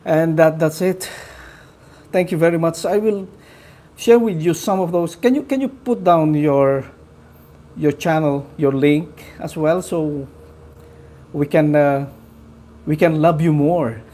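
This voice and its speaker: Mellow man chatting on a live stream. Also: on the high side. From Philippines. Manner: halting, full of fillers, friendly to viewers. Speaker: livestream host. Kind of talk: casual live-stream chat with viewers.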